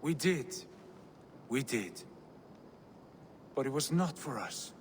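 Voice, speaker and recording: Slow, gentle Viking warrior, reverberant. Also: male voice, medium pitch, animated. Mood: gentle, weary. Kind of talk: dramatic video game cutscene acting. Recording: long reverb, faint noise.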